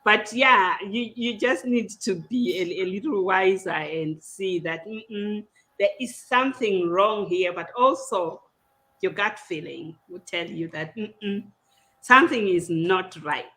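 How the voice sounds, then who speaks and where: A woman with a Zimbabwean accent, a native Shona speaker, hosting an online panel in a deliberate, explanatory, conversational way. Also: mid-range voice, pushed and bright, poor audio. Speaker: podcast host. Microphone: video call.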